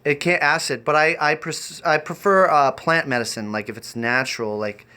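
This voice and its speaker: Bright, higher-voiced man rambling on a livestream. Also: clean tone. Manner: rambling, halting, eccentric. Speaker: live streamer and variety performer. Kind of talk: improvised livestream chat.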